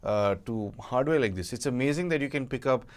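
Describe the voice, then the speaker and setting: Guy talking thru a tech review, mid pitch voice, measured, bit halting, conversational. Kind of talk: conversational product review to camera.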